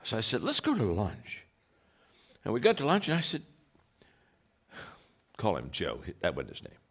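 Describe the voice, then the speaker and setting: A man with a deep voice giving a motivational talk, telling stories with many restarts and acted-out quotes. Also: wildly swooping pitch, moderate pace, slightly rough. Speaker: leadership speaker.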